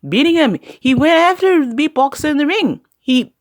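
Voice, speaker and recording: Lady acting as chirpy aussie sideline reporter, highish voice that bounces all over, giggly. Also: expressive, moderate pace, dry recording, studio quality. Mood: cheerful. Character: chirpy, giggly Australian female field interviewer. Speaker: on-scene interviewer. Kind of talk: playful scripted sports report.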